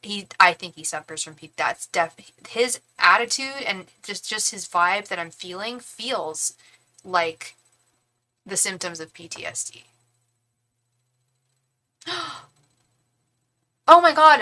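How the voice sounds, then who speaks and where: A young woman with a bright, edged voice gives a casual psychic reading on a live stream. She rambles, restarts and speaks straight to her viewers in a chatty, conversational way. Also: medium pitch, expressive, slow pace, pauses often. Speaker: tarot reader and psychic.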